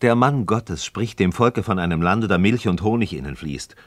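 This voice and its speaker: Impassioned, disappointed Freemason novice for philosophical radio drama: low register, highly animated intonation, declamatory and reproachful. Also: male voice, normal speed, no room sound. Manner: declamatory, aggrieved, rhetorical. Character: disillusioned, impassioned male Freemason novice questioning his friend. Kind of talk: mid-century German radio play acting of philosophical dialogue.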